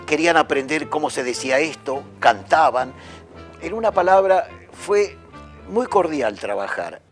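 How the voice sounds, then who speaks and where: Man, lively, expressive pitch, faint music behind. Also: a little slow. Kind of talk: interview.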